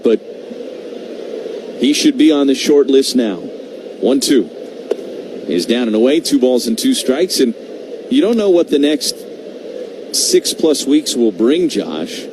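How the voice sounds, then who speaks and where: A man doing live baseball play-by-play on radio, talking in measured short phrases with conversational asides. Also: mid-range voice, expressive. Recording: clearly noisy live radio broadcast.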